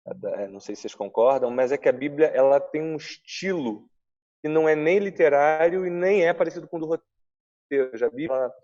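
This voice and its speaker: A man's voice: fairly high pitch, a little fast, warm tone. A man with a Brazilian accent explaining things as a writer on a panel, recorded in dull, lo-fi audio.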